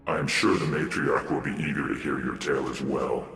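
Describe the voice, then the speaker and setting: Game role: stately alien guard, bottomless register, ceremonial wary diction, distant sound. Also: male voice, very deep voice, fairly even intonation, fairly quick, a bit bright. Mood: wary greeting. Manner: archaic, formal phrasing. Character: stately alien dark warrior guard, male, formal and wary. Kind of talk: video game voice acting. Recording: echoing, distant, faint game music.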